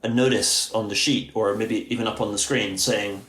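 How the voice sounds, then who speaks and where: Male podcast guest, low-ish voice, earnest and thoughtful, echoey room. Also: steady tone, normal speed, fairly bright tone. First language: English. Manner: earnest, thoughtful, with frequent restarts. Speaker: seminary teacher, guest. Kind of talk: conversational explanation. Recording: roomy echo.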